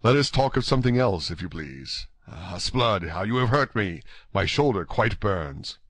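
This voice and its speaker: Male reader as courtly storybook musketeer, wry and formal, fairly deep, measured, in a dry recording. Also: animated, a bit bright, no echo. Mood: weary, restrained. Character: gracious, dignified, wry wounded gentleman musketeer. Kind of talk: audiobook character dialogue.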